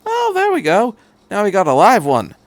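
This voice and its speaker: Storybook time traveller, performed by a male reader: chatty, excitable eccentric, lively higher pitch, dry recording. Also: normal speed, dry recording. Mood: excited, rambling. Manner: excitable, rambling, breezy. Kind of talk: fan fiction reading.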